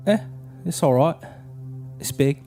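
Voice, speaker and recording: Actor as a gruff, casual younger brother, music behind. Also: male voice, on the high side, animated, unhurried, dark tone. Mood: guarded, tired. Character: blunt, grudging, easily frustrated little brother. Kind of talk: naturalistic audio drama acting.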